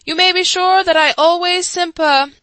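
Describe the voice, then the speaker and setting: A woman voicing a fussy male court chancellor with a thin, squeaky voice and a pompous, flustered way of making speeches. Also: steady tone, normal speed, a bit bright, no echo.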